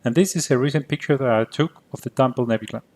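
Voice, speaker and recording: A man's voice: mid-range voice, fast talker, a little mellow. Greek Cypriot man giving a tech talk. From Cyprus. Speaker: conference presenter. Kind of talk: prepared conference talk.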